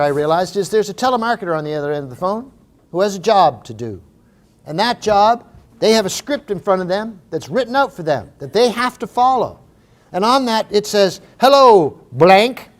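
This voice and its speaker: A middle-aged Canadian man giving a comic motivational talk to a live audience, telling stories in an animated way and repeating phrases for emphasis. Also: sits high. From Maritime Canada. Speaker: humorous keynote speaker.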